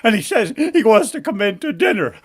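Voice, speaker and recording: A man's voice: high voice, very animated, a little fast, slightly rough, voice pushed up, exaggerated voice. A man voicing an obsequious, flustered royal servant in a comic fairy tale, theatrical and deferential.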